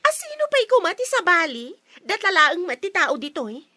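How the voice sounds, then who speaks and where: A bossy, snide young Filipino sister for radio drama. Her voice is very high and animated, with a bright edge. She taunts haughtily in a close, dry recording. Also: moderate pace, no room sound, soft background music, heavily acted. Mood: taunting, contemptuous. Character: snide, bossy sister guarding her brother from his girlfriend. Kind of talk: Ilocano radio drama acting.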